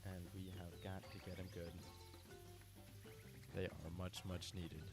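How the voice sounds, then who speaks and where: Male gamer, deep voice, casual live-stream chatter, music bed behind. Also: a little slow. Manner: relaxed, chatty, talks to viewers by name. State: tired from little sleep. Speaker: game streamer. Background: music playing.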